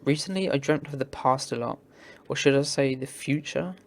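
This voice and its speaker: A man's voice: medium pitch, normal speed, creaky. A man reading visual novel text aloud in an earnest, theatrical way as a game playthrough host. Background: game music.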